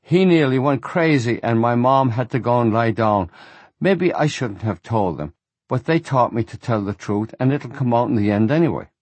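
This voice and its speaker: A man's voice: mid-range voice, animated, moderate pace, a little mellow, no echo, lightly acted. A man voicing a large, guileless teenage boy who talks earnestly and artlessly.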